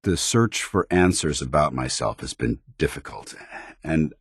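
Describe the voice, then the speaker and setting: A man playing a stern, earnest soldier who is secretly synthetic, speaking in a formal, measured military manner for a video game. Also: extremely low pitch, lively intonation, breathy edge, dry recording, studio quality, full character voice. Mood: hesitant, uneasy. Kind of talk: video game companion dialogue, dramatic acting.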